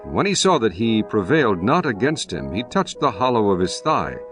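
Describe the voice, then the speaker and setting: A man narrating scripture in a solemn, measured way over music. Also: lowish voice, big pitch swings, fairly bright tone. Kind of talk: dramatized scripture reading.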